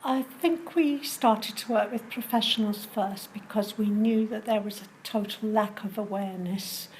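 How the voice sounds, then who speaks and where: A woman working in community support gives a measured, explanatory account in an interview setting. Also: mid-range voice, a little mellow, a little hiss or hum, poor audio. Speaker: community support charity worker.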